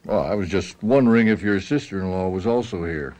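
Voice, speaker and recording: A man plays a police sergeant in a radio mystery. His voice is deep, and he is courteous and understated while quietly suspicious and probing. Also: brisk, lightly acted. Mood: politely probing. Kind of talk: radio mystery drama acting.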